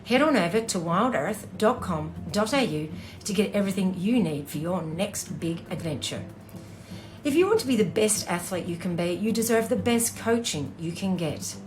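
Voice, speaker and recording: A woman's voice: on the low side, lively intonation, normal speed, faint music behind, rough recording. A woman who hosts a podcast and coaches runners, reading a scripted intro and ads in an earnest, friendly way. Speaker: podcast host and running coach.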